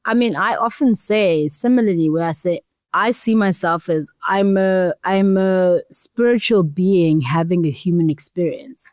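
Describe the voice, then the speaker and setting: Interviewer: woman, lower-than-average pitch, conversational and reflective, close dry studio-like sound. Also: unhurried, darkish tone, dry recording. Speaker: interviewer, foundation chief executive.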